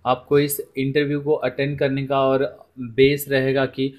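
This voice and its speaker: A man's voice: mid-range voice, monotone, a little slow, muffled recording. A man with an Indian accent giving an informal explainer voice-over, addressing viewers as friends, repeating himself and mixing in English words. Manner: repetitive, often addresses listeners as friends, mixes in English words. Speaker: presenter of a job information video.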